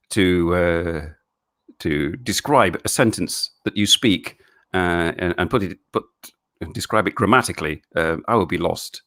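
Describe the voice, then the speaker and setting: A middle-aged Englishman hosting a casual live stream, answering viewer questions in a halting way with many restarts and frequent chuckles. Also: sits low, fairly bright tone. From England.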